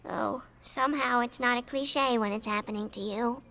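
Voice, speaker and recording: Bright, high brainy cartoon girl. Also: moderate pace, creaky, low-quality recording. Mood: despairing. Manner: earnest, know-it-all, precise. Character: brainy, earnest, preachy eight-year-old cartoon girl. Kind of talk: animated comedy cartoon acting.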